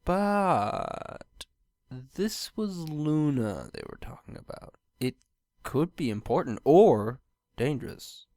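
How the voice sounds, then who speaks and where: A storyteller reads scripted fiction aloud with very animated intonation. The voice sits at a middling pitch and is recorded dry and close, with no room sound. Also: takes it slowly, no echo. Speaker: fan fiction reader, narrator.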